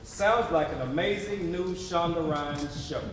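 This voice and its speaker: A man delivering scripted theatrical narration on stage in an animated, emphatic, conversational way with wry asides. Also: on the high side, measured pace, very noisy. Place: theatre stage before a live audience. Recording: echoey theatre, distant mic, audience around. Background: audience murmurs and call-and-response.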